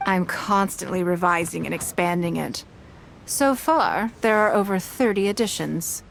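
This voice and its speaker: A woman's voice: on the low side, gravelly, slight background noise, poor audio. A poised, commanding noblewoman. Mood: composed. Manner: measured, composed, authoritative. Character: poised wealthy woman leader, composed and commanding. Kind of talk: game voice acting. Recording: game dialogue over faint music.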